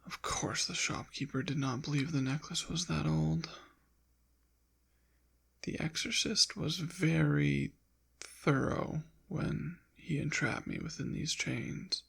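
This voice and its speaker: Ancient demon, played by a man for ASMR roleplay: suave, possessive, coy and haughty, very creaky, whispery delivery at an unhurried pace. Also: on the high side, a bit bright, full character voice. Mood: smug, quietly menacing. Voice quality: breathy, often whispered, gravelly. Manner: coy, teasing, haughty, formal old-fashioned wording. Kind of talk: intimate ASMR roleplay acting.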